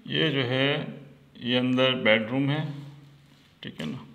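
A man walking through a farmhouse with his phone camera, pointing things out in a relaxed, plain way. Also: medium pitch, takes it slowly, vocal fry, lo-fi sound. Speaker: vlogger giving a property tour. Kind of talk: casual walkthrough narration. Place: outdoors and indoors at a farmhouse. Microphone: phone camera held by the speaker.

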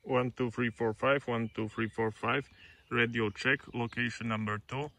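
A man making repetitive radio test calls in deliberate, clipped phrases. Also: mid-range voice, steady tone, creaky, fairly bright tone. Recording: faint noise, clicks, radio test audio.

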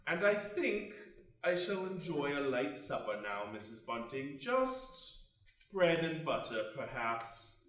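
An actor playing a secretive, pious gentleman lodger with a formal, touchy, sermonizing manner in an old radio suspense drama. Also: a little high, big pitch swings, takes it slowly, heavy reverb, distant mic, full character voice. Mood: courteous, solemn. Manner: formal, precise, sermonizing, easily agitated.

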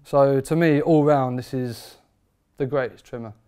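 A man's voice: medium pitch, a little slow, mellow, clear, resonant tone. A man, a barber chatting enthusiastically about trimmers in a barber shop. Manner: enthusiastic, emphatic, informal. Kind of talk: product review chat.

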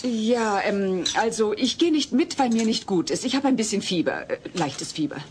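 A woman's voice: fairly high pitch, lively intonation, normal speed, slight background noise, close to an everyday voice. An actress playing an exasperated, dry-witted mother and wife in a German sitcom audio drama. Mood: flustered.

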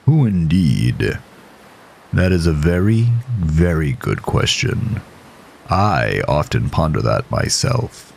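A man's voice: very deep voice, very animated, slow pace, vocal fry, a little hiss or hum, exaggerated voice. A man voicing a weary, evasive, intense doctor with a musing manner in a horror story. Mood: dark, musing.

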